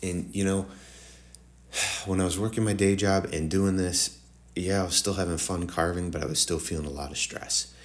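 A man's voice: deep voice, fairly even intonation, moderate pace. A man with a slightly nasal, congested morning voice giving a casual, rambling tutorial voice-over straight to viewers. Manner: informal, rambling, addresses viewers directly. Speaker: host of woodworking tutorial channel.

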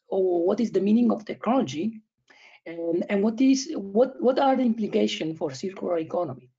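A man in his thirties with an Italian and Spanish accent, presenting an online academic seminar in a halting way, with many fillers and restarts, over a video call from home. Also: high voice, vocal fry, dark tone. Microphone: laptop webcam, video call. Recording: dull lo-fi video call audio.

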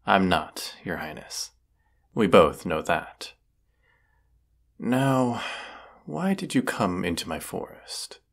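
A man playing a proud, brooding, sardonic wizard of the woods in a scripted audio roleplay, speaking haughtily and deliberately. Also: low voice, sing-song, vocal fry, a bit bright, exaggerated voice. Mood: aloof, mocking.

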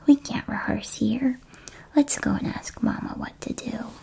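Curious young girl mouse for a bedtime storybook, whispered softly and slowly by a woman. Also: sits high, creaky, voice pushed up, heavily acted. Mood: fed up. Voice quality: soft whisper. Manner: slow, hushed storytelling.

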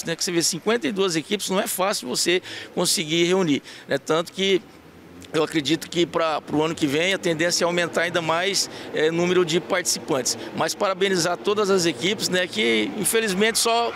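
A man in his fifties from Brazil, a city sports secretary answering a TV reporter's questions, pausing often with fillers and restarting words. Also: a little high, vocal fry, fairly bright tone, a little hiss or hum. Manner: halting, many fillers and restarts. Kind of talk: TV news interview answers. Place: indoors in front of a printed backdrop. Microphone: handheld reporter mic held close.

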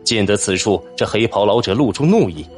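A man with a fairly low voice narrates a fantasy audiobook. His delivery is dramatic and animated in pitch, with faint music underneath. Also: brisk.

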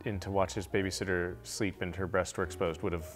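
A man's voice: sits low, flat delivery, a little fast, soft background music. A man in a casual film discussion, speaking as a review show panelist.

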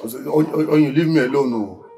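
An actor playing a poor, aggrieved young village farmer with a Nigerian accent, stammering and repeating himself in agitation. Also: male voice, mid-range voice, fairly quick, dark tone, close to an everyday voice. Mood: angry, aggrieved. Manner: agitated, stammering repetition of words. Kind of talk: Nollywood film acting. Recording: background music under dialogue.

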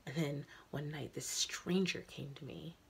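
Casting: actress as sorrowful, ageless young woman, low creaky voice, intimate halting stage-monologue confession, noisy recording. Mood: haunted, hushed. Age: young adult. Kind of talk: dramatic audition monologue.

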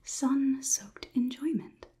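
A young woman playing a polite, curious, clinical robot overlord in a whispered ASMR roleplay, with measured, formal delivery. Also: high-pitched, mellow. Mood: curious, deliberate. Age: young adult. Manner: slow, measured, deliberate, formal wording. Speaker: ASMR performer.

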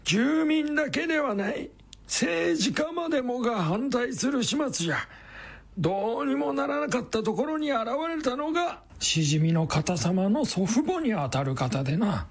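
Radio drama role: genial, chatty old distillery man, raspy, very animated intonation. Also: extremely high pitch, moderate pace, exaggerated voice. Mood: proud, animated. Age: older. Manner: old-fashioned folksy elderly speech, chatty. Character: kindly, smiling old male distillery worker. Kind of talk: Japanese radio drama acting.

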